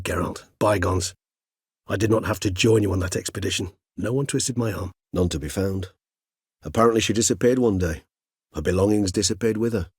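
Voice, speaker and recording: A gentlemanly older vampire for a fantasy video game. His voice is low and heavily husked, recorded close and dry. He chooses his words with formal, scholarly care and speaks as a gentle, loyal friend. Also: husky, no echo. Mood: calm, thoughtful. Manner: formal, eloquent, measured old-fashioned phrasing.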